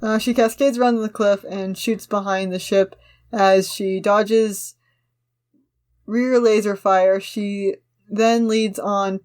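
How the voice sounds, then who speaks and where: A woman's voice: medium pitch, steady tone, slow pace, a little mellow. A woman co-hosting a casual discussion, reading a script aloud and commenting on it in an opinionated, argumentative way. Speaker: podcast co-host.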